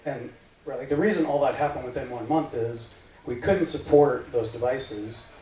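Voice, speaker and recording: A man's voice: medium pitch, normal speed. A man in his forties from the United States gives a casual tech talk to a meetup crowd, wandering through his points with lots of "right, like" and "um". He sounds far from the mic in an echoey room, with a faint hiss behind him. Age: 40s. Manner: rambling, filler-heavy, says "right, like" often. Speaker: meetup speaker. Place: meeting room.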